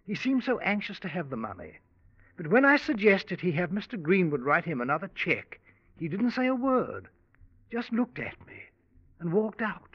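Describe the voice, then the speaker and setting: A courteous, fussy bank clerk in a 1940s radio play. His voice is on the high side and swings widely in pitch as he earnestly explains. The old recording sounds dull. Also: sing-song, normal speed, a little hiss or hum. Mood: polite, concerned. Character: polite, fussy English male bank cashier. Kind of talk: 1940s radio drama acting.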